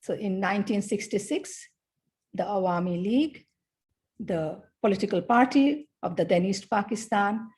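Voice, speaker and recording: A middle-aged woman from Bangladesh giving a conference talk, measured and pausing between phrases. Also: medium pitch, animated, a little mellow. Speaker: academic speaker.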